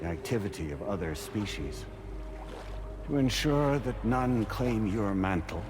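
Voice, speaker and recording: Lofty, low-voiced artificial overlord. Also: male voice, animated, noisy background. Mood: serene, assured. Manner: formal, measured, lofty speechmaking. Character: calm, grandiose artificial overseer intelligence, male, coldly paternal. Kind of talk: cinematic video game voice acting. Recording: music behind the voice.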